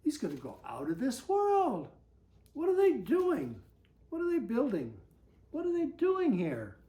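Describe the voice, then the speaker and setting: A woman's voice: sits high, huge pitch swings, measured pace, mellow. A woman reading a children's picture book aloud, breaking off to chat and put questions to her young listeners. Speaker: storybook reader.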